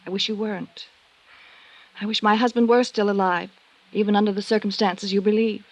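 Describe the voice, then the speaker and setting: An actress playing a composed, evasive wife under suspicion, in an old-time radio drama. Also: female voice, medium pitch, fairly even intonation, fairly quick, close to an everyday voice, low-quality recording. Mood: wounded, defiant. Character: cool, evasive married woman suspected of insurance fraud.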